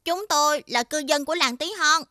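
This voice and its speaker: A woman voicing a tiny, good-natured shoemaker's helper with a chirpy manner in a children's animated fairy tale. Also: high voice, a little fast, dry recording, clean studio sound. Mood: reassuring.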